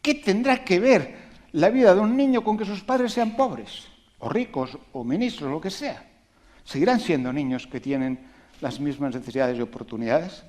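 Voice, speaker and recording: A man giving a public talk to an audience on education, animated and emphatic, asking rhetorical questions and making conversational asides. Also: fairly high pitch, normal speed. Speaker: invited speaker on education.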